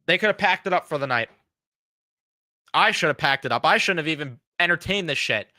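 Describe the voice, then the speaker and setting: A man's voice: a little high, animated, brisk, sharp tone, no echo, clean studio sound. A man in his twenties hosting a sports fan show, giving blunt, frustrated, swearing commentary while fighting a cold. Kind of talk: casual reaction commentary.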